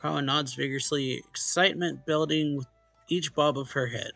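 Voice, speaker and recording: A man's voice: a little high, fairly even intonation, fairly quick, vocal fry, pushed and bright, dry recording. A man casually reading a visual novel's dialogue and first-person narration aloud as a let's play host. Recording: game music behind the voice. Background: game music.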